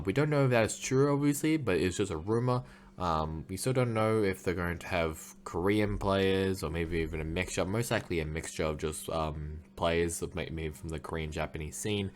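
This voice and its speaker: A tired man talking quietly late at night in a casual solo video, rambling with lots of fillers and restarts. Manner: a bit quiet, lower than usual. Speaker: esports commentary YouTuber.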